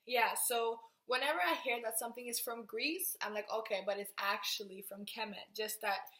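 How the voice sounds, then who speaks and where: A woman's voice: a little high, steady tone, normal speed, bright, forceful tone. A young woman from Norway, explaining her self-study to the camera in a casual, thinking-aloud way and often restarting her sentences. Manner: thinking aloud, restarting and trailing off while writing on a board. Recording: roomy echo.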